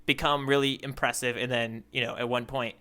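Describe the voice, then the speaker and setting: Bright, forceful male podcast co-host. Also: mid-range voice, little pitch movement, brisk. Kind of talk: casual comic podcast chat.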